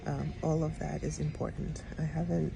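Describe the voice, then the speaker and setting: Earnest woman with a gravelly edge. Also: sits low, level tone, normal speed, rough recording. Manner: earnest, reflective, with frequent ums. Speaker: public health educator. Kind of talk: personal vlog talk. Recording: music playing underneath.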